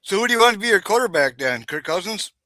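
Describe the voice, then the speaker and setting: Male panelist, sharp bright voice, slightly high, teasing profane sports talk. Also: expressive, fast talker, no echo. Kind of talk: casual sports chat.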